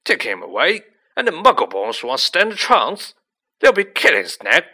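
Storybook giant , played by a man: rough rustic groundskeeper, loyal and quick to roar, hard-edged, swinging delivery. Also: fairly high pitch, huge pitch swings, bright, forceful tone, pitched above the performer's own voice, full character voice. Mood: furious, roaring. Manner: roaring, growling, rustic dialect. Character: gruff hot-tempered giant shaggy man, loyal groundskeeper.

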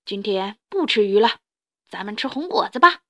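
A woman voicing a big male Tyrannosaurus in a storybook, a gruff, hungry loner with a soft heart, blunt and halting. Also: medium pitch, lively intonation, lightly acted. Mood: cheerful.

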